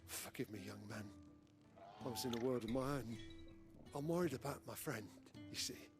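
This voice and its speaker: An actor playing a worried older man in a video game, speaking softly and low. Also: normal speed, over music, close to an everyday voice. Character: worried old man waiting for his friend.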